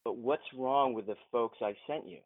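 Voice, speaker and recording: An actor playing a frustrated, principled film producer in an audio drama, earnest and insistent, heard over a thin phone line. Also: male voice, mid-range voice, moderate pace, no echo, lightly acted. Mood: frustrated, pressing. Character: frustrated, principled male film producer challenging his boss. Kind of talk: modern audio drama acting.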